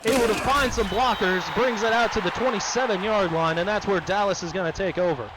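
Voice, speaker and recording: High-voiced excitable play-by-play man. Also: brisk, background noise. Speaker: play-by-play commentator. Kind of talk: play-by-play sports commentary.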